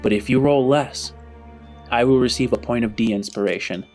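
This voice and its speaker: A man narrating a tabletop roleplaying game as game master, with dramatic pauses and deliberate phrasing. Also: medium pitch, darkish tone, faint music behind.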